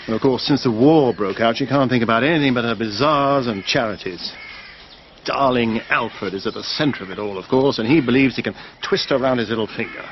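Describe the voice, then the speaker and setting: Actor as aggrieved upper-class British gentleman. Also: medium pitch, fairly quick, dull audio, a little hiss or hum, lightly acted. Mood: exasperated. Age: middle-aged. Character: hard-up, aggrieved middle-aged English country gentleman. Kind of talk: period radio drama acting.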